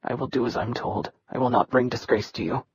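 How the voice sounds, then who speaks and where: A woman voicing a terrified, beaten young male hybrid servant who speaks in a quivering, breathy hush. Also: mid-range voice, fairly even intonation, fairly quick, dry recording, muffled recording, voice pushed down. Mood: hollow. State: in pain and panic.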